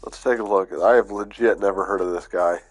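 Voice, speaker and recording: Gaming channel host, male, low-ish voice, stuffy and under the weather, relaxed think-aloud commentary with faint music underneath. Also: brisk, slightly rough. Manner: laid-back, thinking aloud with fillers. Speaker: gaming YouTuber. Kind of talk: casual gameplay commentary.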